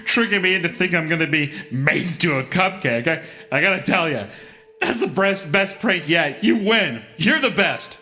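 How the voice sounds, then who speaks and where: Man voicing a high-pitched, panicked pony mare. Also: animated, moderate pace, pushed and bright, faint music behind. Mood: amused, nervous relief. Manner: pleading, exclaiming, frantic. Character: panicked female pegasus pony pleading for her life. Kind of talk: dramatic comedic story reading.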